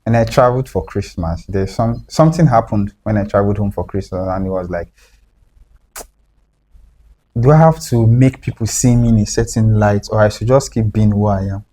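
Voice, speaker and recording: A young man, talking casually as a writer guest, relaxed and repeating himself as he explains. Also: on the low side, moderate pace. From Nigeria. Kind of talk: casual conversation.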